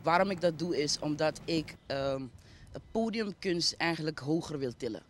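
A woman's voice: sits low, normal speed. A woman with a Surinamese accent giving reflective, explanatory interview answers outdoors, mixing in English words. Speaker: interviewee, arts foundation founder. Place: outdoors by a street. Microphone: handheld interview microphone. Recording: outdoor ambient noise.

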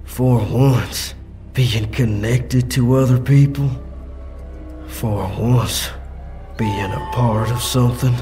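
A man's voice: medium pitch, fairly even intonation, takes it slowly, music in the background, lightly acted. A man voicing a smug, manic infected doctor in a comic dub, with a theatrical, coaxing delivery. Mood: softly sinister. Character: fungus-infected male doctor, smug, manic cult-like persuader.

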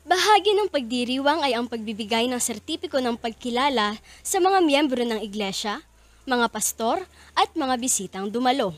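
A young woman reporting in a measured, clear manner, holding a handheld mic on a street outdoors. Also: fairly high pitch, animated, no echo. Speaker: field reporter. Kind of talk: scripted news report.

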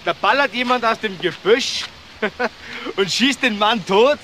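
An excitable young car salesman in a radio crime play. His voice is very high and sharp for a man, indignant and colloquial, with some noise behind. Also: a little slow. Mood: shaken. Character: agitated young male car salesman under suspicion. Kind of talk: German crime radio drama acting.

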